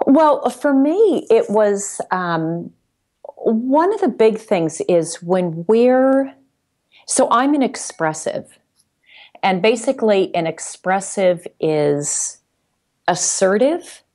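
A woman's voice: mid-range voice, big pitch swings, slow, a little mellow. A woman speaking as a podcast guest in casual conversation, explaining things reflectively step by step. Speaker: podcast guest, sales executive.

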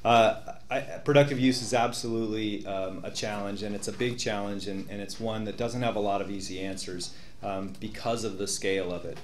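A man's voice: fairly low pitch, fairly even intonation, brisk. A man speaking as a panelist, explaining at length in a hesitant way, with frequent fillers and restarts. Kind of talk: panel answer. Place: large meeting room with audience. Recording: roomy hall, faint hum.

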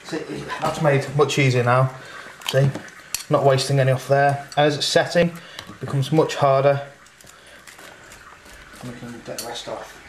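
A man's voice: mid-range voice, fairly even intonation, unhurried, slight background noise. A man in his thirties hosting a casual home cooking show, chatting while he works in his kitchen. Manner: chatty, talks while working at the stove. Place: home kitchen at night, indoors. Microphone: camera mic from across the room. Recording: roomy, distant camera-mic sound.

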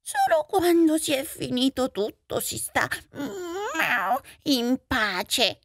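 A woman's voice: high-pitched, very animated, slow pace, no echo, clean studio sound, pitched above the performer's own voice, heavily acted. A woman voicing a sly, greedy male cat in a fairy tale, peppering the speech with meows and hums. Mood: smugly satisfied.

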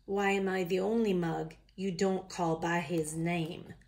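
A woman's voice: lowish voice, steady tone, measured pace, a little mellow. A woman voicing an exasperated, indignant storybook koala in an attempted Australian accent, blunt, grumbling and emphatic. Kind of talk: children's picture book read-aloud.

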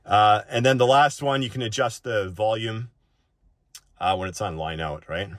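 Guy with a lowish voice doing a laid out settings tutorial, informal and off the cuff, dry recording. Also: moderate pace, dry recording. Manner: informal, often ends points with a checking "right?". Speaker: tech reviewer presenting a settings walk-through. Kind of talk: casual unscripted tutorial.

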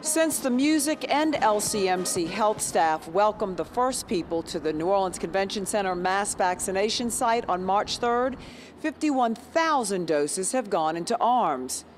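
A woman's voice: medium pitch, animated, unhurried, faint music behind. A woman TV news reporter giving a live report from an indoor convention hall, speaking into a handheld microphone with polished broadcast delivery. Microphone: handheld station mic.

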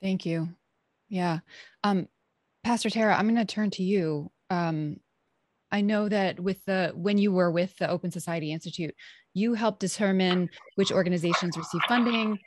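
A woman's voice: fairly low pitch, normal speed, a little mellow. A middle-aged woman moderating an online panel over a video call. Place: indoor room. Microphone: video call device microphone. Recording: video call with faint noise.

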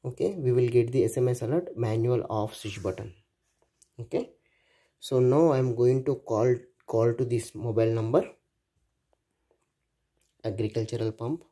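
A man's voice: mid-range voice, moderate pace, pauses often, mellow. A man with an Indian accent narrating a hands-on electronics project demo in clipped, repetitive step-by-step phrases. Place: indoors at a workbench.

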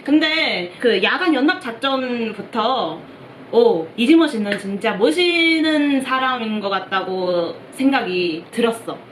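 A woman's voice: on the high side, animated, unhurried, slight background noise. A young woman from Taiwan, a native Mandarin speaker with a Taiwanese accent, chatting in hesitant, word-searching Korean as she reacts to a show. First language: Mandarin Chinese. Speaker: reaction video host. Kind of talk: casual reaction commentary. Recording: roomy echo with show music behind.

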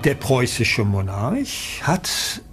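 A man giving a deliberate, emphatic expert explanation in an interview. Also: mid-range voice, very animated, music in the background. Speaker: expert commentator.

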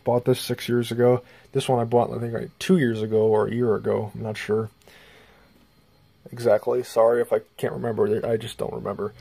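A man's voice: medium pitch, level tone, brisk, gravelly, mellow, slightly rough. A man casually showing things off in a rambling, off-the-cuff vlog, recorded indoors on a handheld phone. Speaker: hobbyist video presenter. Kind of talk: casual show-and-tell vlog. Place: small bedroom indoors. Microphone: handheld phone camera.

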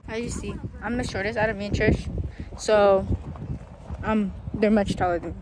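Young woman, casual vlog chat, informal phrasing, mid-pitched voice, clearly noisy open-air phone audio. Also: fairly even intonation, moderate pace. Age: young adult. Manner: informal, frequent "like". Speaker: vlogger. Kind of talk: casual chat while filming. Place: outdoors in an open sunflower field. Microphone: handheld phone, often at arm's length. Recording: noisy outdoor phone recording.

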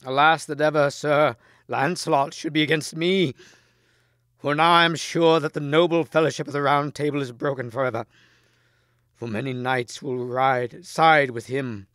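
A man's voice: mid-range voice, steady tone, takes it slowly, a bit bright, smooth, dry recording, clean studio sound, pitched above the performer's own voice. A man voicing a noble, stern and grieving king in a grave, declamatory manner for a story. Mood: sorrowful.

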